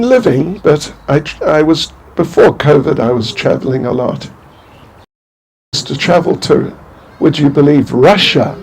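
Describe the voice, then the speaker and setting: An older man from New Zealand giving an informal spiritual talk, halting and rambling, with many restarts and small chuckles. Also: medium pitch, vocal fry, darkish tone, breathy edge. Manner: chuckles to himself. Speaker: spiritual teacher.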